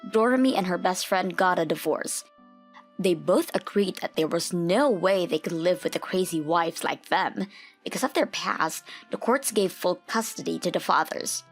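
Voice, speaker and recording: Low-pitched woman narrating a story. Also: moderate pace, soft background music. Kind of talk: scripted story narration for a manga dub.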